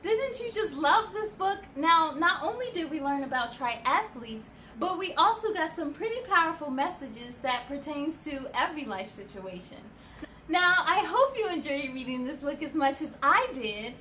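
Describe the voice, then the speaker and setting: A woman reading a children's book aloud in an expressive, encouraging way, with chatty asides to young listeners. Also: sits high, moderate pace, slight background noise.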